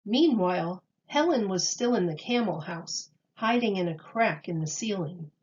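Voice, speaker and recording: A woman reading a children's story aloud with expressive, measured storytelling. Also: medium pitch, dull audio. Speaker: storybook reader.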